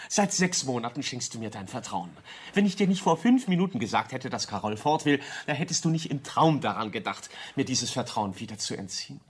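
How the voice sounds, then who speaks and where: A man's voice: medium pitch, big pitch swings, brisk, husky. An actor playing an earnest, ambitious young architect in a radio drama, stammering and repeating words as he pleads. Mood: agitated, hurt. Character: earnest, ambitious young male architect, excitable and easily hurt. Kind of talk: German radio drama acting.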